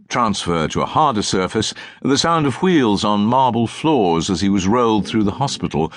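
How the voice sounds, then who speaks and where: A man's voice: low voice, no room sound, clean studio sound. A man reading a crime novel as an audiobook narrator, in scripted literary prose with precise diction.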